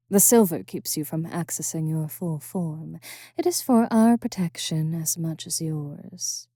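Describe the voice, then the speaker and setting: Woman playing a slow, haughty vampire noblewoman. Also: fairly low pitch, warm tone, no echo, clean studio sound. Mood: condescending. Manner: formal, aloof, condescending, deliberate. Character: haughty, composed vampire noblewoman, stern captor with teasing edge. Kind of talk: ASMR roleplay audio drama.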